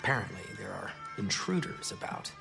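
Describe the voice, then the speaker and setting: An actor playing an unfazed, sardonic dwarf musician in a video game, with a dry, laid-back delivery. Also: male voice, fairly low pitch, big pitch swings, fairly quick, vocal fry, over music, exaggerated voice. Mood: sardonic, unbothered.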